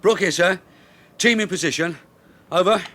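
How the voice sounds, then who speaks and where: An actor playing a shrewd veteran police sergeant, dry, weary and matter-of-fact, in a radio drama. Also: male voice, high-pitched, normal speed, bright, forceful tone, slight background noise. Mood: brisk, grumbling. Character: shrewd veteran male detective sergeant, wry and grumbling.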